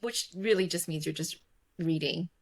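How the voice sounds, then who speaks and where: A woman's voice: sits low, normal speed. A woman guest in a remote interview, talking casually with lots of "like" and "right?", laughing easily. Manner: chatty, heavy on fillers like "like" and "right?". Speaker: guest, tech investor and former podcaster. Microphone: remote call recording.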